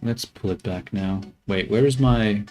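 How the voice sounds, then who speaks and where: A man's voice: fairly low pitch, unhurried, mellow, soft background music. A man streaming as he models a 3D character, talking to himself and his chat in a joking, muttering way. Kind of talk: casual live commentary while working.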